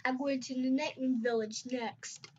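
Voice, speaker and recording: An earnest young male skywing dragon, voiced by a woman in a read-aloud storybook, polite and a touch awkward. The voice is high and a little breathy. The recording is muffled and lo-fi. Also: steady tone, measured pace, darkish tone. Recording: dull lo-fi recording.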